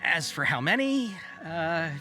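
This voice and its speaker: A flustered trader talks in a very bright, forceful voice that swings up and down. He is nervous and apologetic, hesitating as he tries to appease, like a game shopkeeper over soft music. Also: a little high, very animated, slow pace, heavily acted. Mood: nervous, reluctant. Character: flustered, apologetic male caravan merchant, eager to appease. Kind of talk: video game voice acting.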